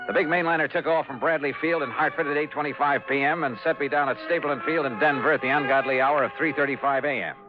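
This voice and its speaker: An actor playing a breezy, deadpan, skeptical insurance investigator in a 1950s radio drama. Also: male voice, a little high, fast, hardly any pauses, highs cut off, over music, close to an everyday voice. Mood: wry. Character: wry, businesslike hardboiled male freelance insurance investigator. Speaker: series lead and narrator.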